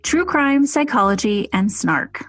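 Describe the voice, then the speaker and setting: A woman podcast co-host reading a scripted promo in a husky voice. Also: medium pitch, expressive, a little slow, dry recording, faint music behind.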